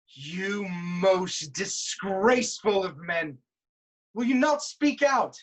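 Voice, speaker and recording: An actor plays a proud king of ancient Thebes in a staged reading of Greek tragedy. The voice sits at a middle pitch. The delivery is formal and commanding, full of suspicion and hot-tempered accusation. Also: expressive, takes it slowly. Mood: angry, demanding.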